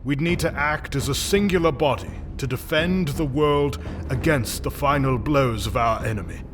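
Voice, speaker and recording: A man playing a stalwart knight grandmaster in a fantasy audio drama, giving stately, deliberate oratory over music. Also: mid-range voice, close to an everyday voice. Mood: solemn, resolute.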